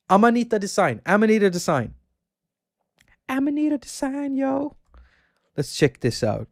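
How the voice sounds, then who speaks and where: A man with a Swedish accent, hosting a casual livestream, rambling about games and reading out chat. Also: high voice. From Sweden. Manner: chatty, rambling, reads out viewer chat and laughs often. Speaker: livestream host.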